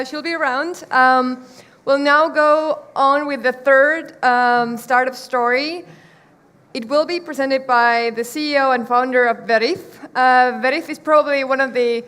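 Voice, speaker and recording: A woman hosting a conference, introducing the next speaker in a brisk, upbeat way. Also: high-pitched, a bit bright. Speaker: event host. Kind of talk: stage introduction. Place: conference stage.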